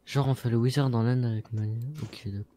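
A man's voice: medium pitch, steady tone, fast talker, warm tone. A young man chatting in a gaming voice call, joking and mocking himself. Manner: joking, self-mocking, laughs at old messages. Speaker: friend in voice chat. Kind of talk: casual voice chat.

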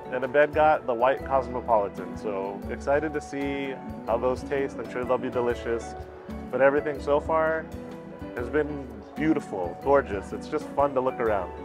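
Enthusiastic man reviewing food. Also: medium pitch. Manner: enthusiastic, gushing about food. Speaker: food vlogger. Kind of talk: casual restaurant review. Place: busy indoor restaurant patio. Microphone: lapel mic.

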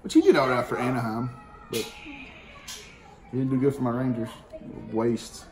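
A man's voice: mid-range voice, moderate pace, music in the background, low-quality recording. A man, casually reading out baseball card names at home. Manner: plain-spoken, slangy, calling out names one by one. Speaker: card collecting channel host. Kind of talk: casual card-opening commentary. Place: dining room at home, indoors. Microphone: camera on a table in front. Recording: home room with steady noise.

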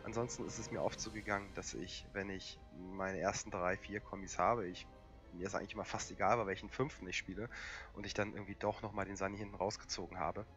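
A young man with a cold, hoarse and coughing, casually commentating a strategy game with lots of fillers. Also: on the low side, fast talker, soft background music. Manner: relaxed and rambling, many fillers. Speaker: gaming channel host.

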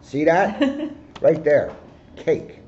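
Older man, high voice, muffled recording. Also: big pitch swings, drawn-out words, darkish tone. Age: 60s. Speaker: police officer reading a children's book. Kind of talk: picture book read-aloud with joking asides. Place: office, indoors. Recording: dull, lo-fi camera microphone.

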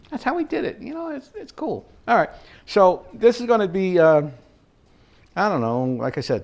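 An older man talks his way through a recipe in his own kitchen, loose and chatty, full of "uh" and "all right" and little chuckles. His voice sits at an ordinary male pitch, picked up by a camera standing a few feet off. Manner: chatty, rambling, with chuckles and fillers. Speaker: home cooking show host. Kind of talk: casual cooking demonstration. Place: home kitchen, indoors. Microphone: fixed camera at mid distance.

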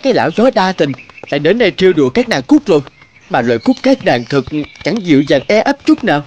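A man voicing a vain, picky male butterfly in a cartoon, boastful and pompous with theatrical flattery. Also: high voice, quick pace, noisy background. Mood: scornful. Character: vain, picky male butterfly bachelor seeking a flower bride. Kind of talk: cartoon character acting.

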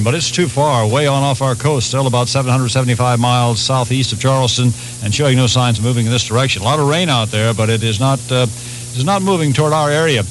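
A man's voice: medium pitch, a bit bright, low-quality recording. A man giving a radio weather forecast on a hissy 1980s recording with some hum.

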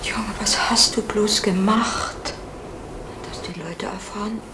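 A woman's voice: mid-range voice, fairly even intonation, slow pace, background noise, natural voice. An actress playing a worried middle-aged mother who speaks in a pleading way in an old radio drama. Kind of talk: 1970s-80s radio drama acting.

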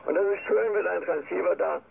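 Older man over crackly shortwave radio, creaky voice. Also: high-pitched, fairly quick. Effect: shortwave single-sideband radio. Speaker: amateur radio operator. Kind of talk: casual ham radio chat. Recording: noisy narrowband shortwave radio reception. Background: radio static.

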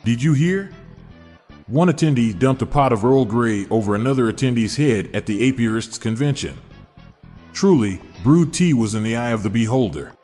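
A man hosts a podcast, reading dad jokes one after another in an upbeat, chuckling delivery. His voice sits in a middle pitch and carries a long reverberant tail, as if in a hall. Faint music plays underneath. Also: expressive, moderate pace, warm tone. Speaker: podcast host.

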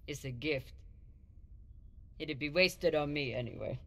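An actor playing an easygoing, considerate man on a spaceship crew, talking casually and with gentle teasing in a video game. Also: fairly high pitch, unhurried. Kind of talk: naturalistic video game acting.

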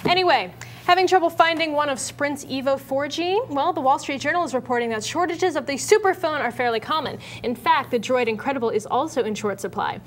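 A woman's voice: high-pitched, a little fast, a bit bright, a little hiss or hum. An American woman in her twenties co-hosting a tech show, talking in an informal, slangy, outspoken way. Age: 20s. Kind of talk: casual scripted tech chat. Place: indoor TV studio set.

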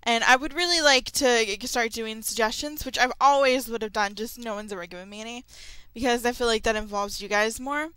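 A woman hosting her channel, chatting casually and excitedly to her viewers in an informal audio update. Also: on the high side, a little fast, clear, resonant tone, no echo, low-quality recording. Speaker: creepypasta narrator and channel host.

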